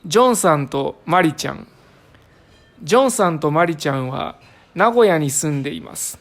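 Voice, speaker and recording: A woman's voice: low-pitched, lively intonation, drawn-out words. A woman who is a native Japanese speaker, reading a short comprehension text aloud with care for learners. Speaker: reader of lesson passages.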